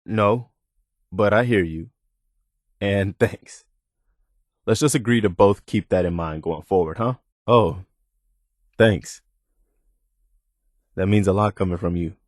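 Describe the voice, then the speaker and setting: An actor playing an earnest, loyal militia soldier companion in a video game, speaking humbly and a little hesitantly, in a naturalistic way. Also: male voice, lowish voice, slow pace, pauses often, no room sound, clean studio sound. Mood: warm, grateful.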